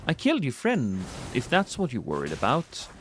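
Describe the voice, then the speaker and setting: A man from Norway with a Norwegian accent, streaming a game live and joking with his chat while reacting loudly to the action. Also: medium pitch, huge pitch swings, normal speed, a little hiss or hum. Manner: playful, joking banter with chat.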